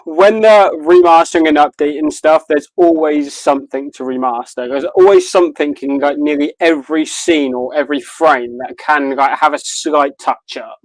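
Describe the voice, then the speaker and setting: Male podcast co-host, slightly high voice, very dry sound, casual and sweary joking. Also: normal speed, hardly any pauses, no echo, low-quality recording. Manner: crude, self-deprecating joking, swears freely. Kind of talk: casual chat, watch party banter.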